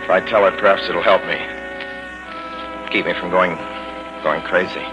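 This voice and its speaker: A man's voice: sits low, fairly even intonation, moderate pace, lightly acted. An actor playing a man in his thirties, haunted by a road trip, in 1940s radio drama style with dramatic delivery. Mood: urgent, anxious. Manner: urgent, dramatic, confessional. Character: tense 36-year-old man haunted on a road trip, insisting he's sane. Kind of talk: 1940s radio drama acting, first-person narration. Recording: old muffled radio recording with music.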